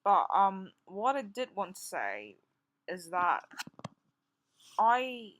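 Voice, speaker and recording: A woman in her twenties from Singapore, with a Singaporean English accent softened by years in the UK, talking in an impromptu vlog with frequent restarts and stammers while recovering from jaw surgery. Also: mid-range voice, very animated, takes it slowly, a little hiss or hum. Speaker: vlogger.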